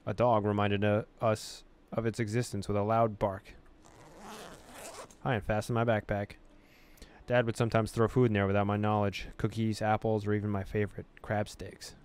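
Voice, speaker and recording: A man hosting a gaming video, reading game text aloud in an expressive storytelling way with casual, amused asides. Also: lowish voice, normal speed, clean tone.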